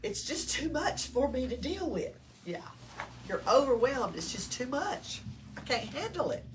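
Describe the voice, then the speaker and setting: A woman with a Southern US accent reading a picture book aloud to children, expressively, pausing to put questions to them. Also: high-pitched. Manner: expressive, engages listeners with questions. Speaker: storytime reader at a library. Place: indoors in a library. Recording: distant mic, noticeable room noise.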